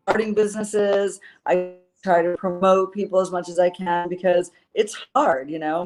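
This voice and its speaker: A woman's voice: fairly low pitch, darkish tone, poor audio. A woman author being interviewed, earnest and rambling, with plenty of fillers as she talks. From based in Los Angeles. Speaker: author guest. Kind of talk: interview answers.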